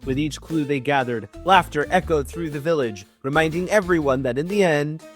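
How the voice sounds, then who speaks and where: A man's voice: fairly high pitch, normal speed, faint music behind. A man giving a dramatic reading of book prose, breaking off into sarcastic, dry asides as a reviewer. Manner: sarcastic, theatrical, shifting between narration and dry asides. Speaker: writing coach reviewing badly written books.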